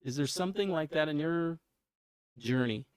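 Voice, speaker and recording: A man hosts a podcast interview in a casual, meandering way, often circling back on his sentences. His voice sits a little high. The sound is dry and close. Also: animated, normal speed, smooth, dry recording. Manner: chatty, rambling, restarts sentences. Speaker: podcast host and coach.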